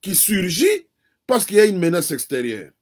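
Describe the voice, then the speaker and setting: A man with a Congolese French accent talks as an interview guest, his voice sitting notably high for a man. His delivery is deliberate and earnest, like someone laying out a lesson on national unity. He speaks French, though Kikongo was his first language. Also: huge pitch swings, a little mellow. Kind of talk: political commentary in an interview.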